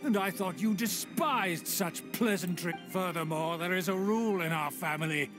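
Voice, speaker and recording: A man's voice: high-pitched, normal speed, lightly acted. An actor playing a scheming, arrogant nobleman in a video game, formal and haughty. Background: game music.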